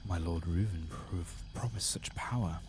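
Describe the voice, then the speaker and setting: A man reading out a disgraced, indignant noble's servant from a fantasy game, in a hushed, breathy, formal ASMR delivery. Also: low-pitched, a little slow, noisy background. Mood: ashamed, bitter.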